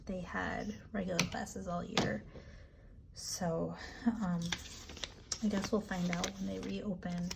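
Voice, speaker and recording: A woman with a raspy, allergy-hoarse voice chatting casually as she decorates her planner, relaxed and full of ums. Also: on the low side, background noise. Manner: slow, relaxed, chatty with frequent ums and asides. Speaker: planner vlogger. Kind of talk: casual plan-with-me narration.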